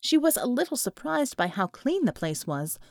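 A woman reading scripted fiction as an audiobook narrator, with expressive, dramatic storytelling. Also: on the high side, normal speed, creaky, no room sound, clean studio sound.

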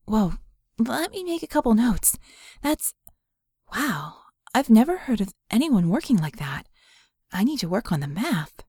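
A woman voicing an earnest, curious and skeptical female mage in an audiobook, speaking in a halting, candid, conversational way. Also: on the high side, big pitch swings, darkish tone, husky, dry recording, studio quality, voice pushed up, exaggerated voice. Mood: amazed.

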